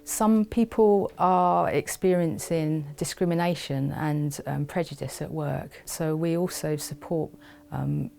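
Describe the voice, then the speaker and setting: Woman, low voice, gravelly fry, hesitant phrasing, explanatory interview talk. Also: mellow. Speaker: charity staff member.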